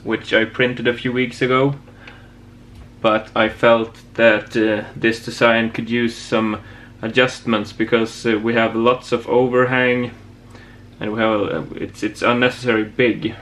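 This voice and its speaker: A man giving a casual hands-on project demonstration as a hobbyist presenter, with a hesitant, halting delivery. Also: mid-range voice, little pitch movement, a bit bright. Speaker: amateur radio hobbyist presenter. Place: indoor home workshop.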